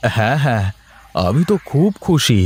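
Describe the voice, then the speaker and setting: A man voicing a warm, excitable village elder brother in a cartoon story, with a homely, fussing manner. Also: mid-range voice, normal speed, breathy edge. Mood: welcoming.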